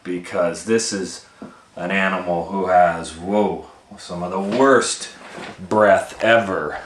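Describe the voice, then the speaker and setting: A man with a deep voice reads a picture book to children at a slow, unhurried pace. He hosts the storytime in an animated way and often steps out of the text for casual, chatty asides. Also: slight background noise. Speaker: storytime reader and host.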